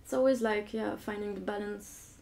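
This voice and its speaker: A woman's voice: medium pitch, steady tone, normal speed, vocal fry, warm tone. A young woman from Mauritius with a French accent, talking hesitantly in a casual interview in a living room. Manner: hesitant, searching for words, laughs often. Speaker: artist guest. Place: living room studio with armchairs.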